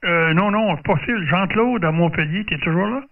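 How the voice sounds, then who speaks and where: A man running a group radio contact, halting and repeating himself as he casually directs the other operators. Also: high-pitched, gravelly. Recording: noisy phone-like radio audio, distant, clicks.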